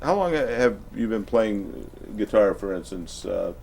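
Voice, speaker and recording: Low-voiced, halting male interview host. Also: lively intonation, vocal fry, a little mellow, slight background noise. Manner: halting, rambling, chatty. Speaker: TV music show host and interviewer. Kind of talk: casual band interview.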